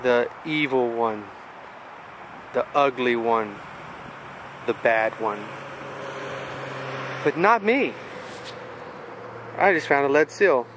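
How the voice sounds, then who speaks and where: A man's voice: medium pitch, animated, slow, pauses often. A man chatting casually and jokingly while hosting a handheld vlog, often repeating his phrases. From US South. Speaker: vlog host, relic hunter. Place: open farm field outdoors. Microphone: handheld camera microphone. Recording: noisy windy outdoor camcorder audio.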